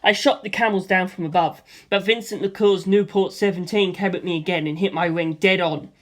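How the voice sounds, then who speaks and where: A man reading a first-person action story aloud in a lively way, voicing gunfire sound effects himself. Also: high voice, fairly quick. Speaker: audiobook reader.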